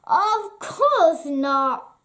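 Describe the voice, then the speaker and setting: A woman voicing a mean, scornful stepmother in a read-aloud storybook, in a shrill, high, exaggerated voice. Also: sing-song, extremely slow, fairly bright tone, full character voice.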